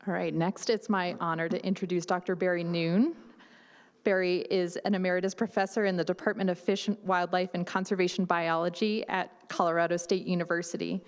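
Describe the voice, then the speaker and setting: A woman moderating a symposium, reading a formal speaker introduction in a measured way. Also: on the low side, flat delivery, clear, resonant tone. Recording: long hall reverb.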